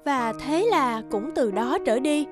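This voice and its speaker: A woman's voice: high-pitched, a little slow, music in the background. A woman narrating a scripted fairy tale as its storyteller.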